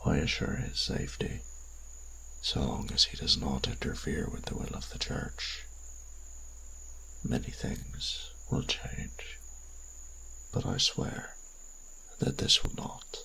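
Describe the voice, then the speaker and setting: A man playing a cold, stern vampire church enforcer in an audio drama, speaking in a grave, measured, formal way with a deep rasp. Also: sharp tone, exaggerated voice, poor audio. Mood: solemn vow. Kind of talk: audio roleplay drama acting.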